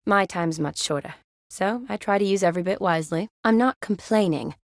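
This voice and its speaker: Actress playing young female fantasy medic, composed, wry, articulate, medium-pitched, video game dialogue, close dry sound. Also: brisk, dry recording, natural voice. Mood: firm, earnest. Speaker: video game character, army surgeon.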